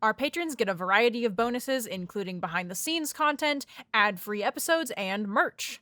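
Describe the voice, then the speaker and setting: A woman with a fairly high, bright voice reads a scripted podcast announcement. The sound is dry, with no room echo, and she delivers it as an announcer. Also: lively intonation, normal speed. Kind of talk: scripted sponsor-style announcement.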